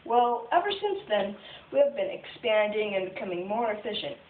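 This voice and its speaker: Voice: mid-range voice, sing-song, normal speed, lightly acted. A performer with a female-sounding voice plays a boastful male tech entrepreneur puppet, presenting a scripted life story to an audience in a self-satisfied, jokey way. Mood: proud. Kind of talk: scripted first-person puppet-show monologue. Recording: tinny phone video, roomy, distant, hissy.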